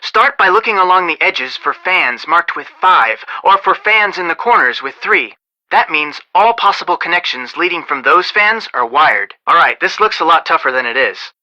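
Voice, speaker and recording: A helpful young man, an amateur detective in a video game. His voice is fairly high and very bright, in slightly dull lo-fi sound. He explains patiently, in a measured and confident way. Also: animated.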